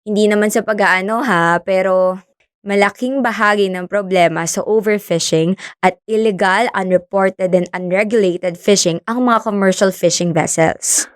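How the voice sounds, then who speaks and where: A woman's voice: medium pitch, moderate pace, clear, resonant tone, no echo, lightly acted. Casting: actress for bubbly yet earnest young female scientist, natural radio drama delivery, dry studio recording. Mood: friendly earnest. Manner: expressive, friendly teasing, earnest when explaining. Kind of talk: conversational radio drama acting.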